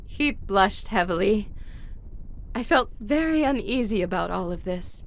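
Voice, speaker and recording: A woman's voice: medium pitch, expressive, measured pace. A woman playing a composed, caring teenage warrior girl, narrating an audio drama in the first person and speaking her dialogue.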